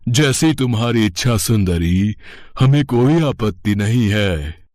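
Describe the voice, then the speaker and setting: A man voicing a greedy, besotted demon in formal Hindi for an animated myth story. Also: lowish voice, slow pace, dry recording, clean studio sound. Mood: smitten, fawning.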